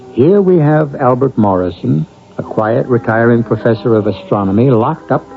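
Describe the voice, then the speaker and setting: A man hosting a radio mystery show with measured, wry, dramatic scripted narration. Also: mid-range voice, creaky. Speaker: host and narrator. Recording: narrow-band old radio broadcast.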